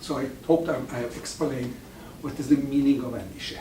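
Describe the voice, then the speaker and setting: A man whose first language is Farsi gives an informal lecture to an audience, speaking haltingly and often restarting words. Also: mid-range voice, dark tone, a little hiss or hum. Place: lecture room with live audience. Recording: echoey room, mic far off.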